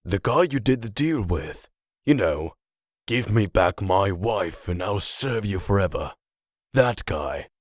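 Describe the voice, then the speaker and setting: A man playing a sly, knowing old vagrant with a raspy voice and a folksy, condescending manner in a comic dub. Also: fairly low pitch, expressive, slow, no room sound, clean studio sound. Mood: mocking, knowing.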